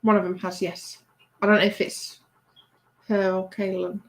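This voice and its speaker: A woman's voice: medium pitch, flat delivery, normal speed. A woman hosting a casual livestream, reading out chat messages and teasing, often trailing off mid-sentence.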